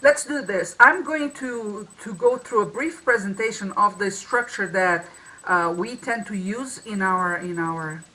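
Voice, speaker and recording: A woman's voice: medium pitch, measured pace, sharp tone, low-quality recording. A woman co-hosting a marketing talk over a video call, with a strong voice and a loose, restarting way of speaking. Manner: many restarts and fillers. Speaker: co-host. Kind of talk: casual webinar talk.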